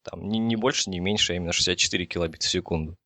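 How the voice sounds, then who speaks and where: Male expert explainer, lowish pitch, informal and halting, dry recording. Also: sharp tone, dry recording. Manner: halting, with frequent false starts. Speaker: telecom engineer explaining as guest expert.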